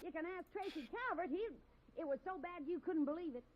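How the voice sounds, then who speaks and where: Brash frontier tomboy. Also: female voice, very high-pitched, animated, a little fast, highs cut off. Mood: brash, scrappy. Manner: brash, rough backcountry talk. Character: short-tempered frontier tomboy, young woman. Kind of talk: 1950s radio drama acting.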